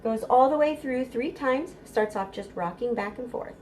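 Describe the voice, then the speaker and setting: A woman's voice: fairly high pitch, moderate pace, darkish tone, slight background noise. A middle-aged American woman leading a storytime for babies as a children's librarian, giving instructions and reciting rhymes in a sing-song, encouraging way.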